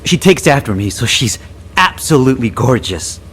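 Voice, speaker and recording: A man playing an anxious, awkwardly jokey young soldier in a video game, with halting, rambling speech. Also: medium pitch, very animated, a bit bright. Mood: sheepish pride. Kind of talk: video game cutscene acting. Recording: clear ambient noise behind voice.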